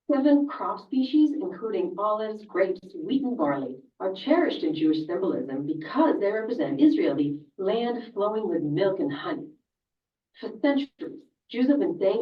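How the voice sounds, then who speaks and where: A woman whose first language is Hebrew, narrating a scripted explainer video and driving her points home with emphatic rhetorical questions. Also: medium pitch. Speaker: video presenter. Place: video played in a room. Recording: distant echoey playback, dull sound.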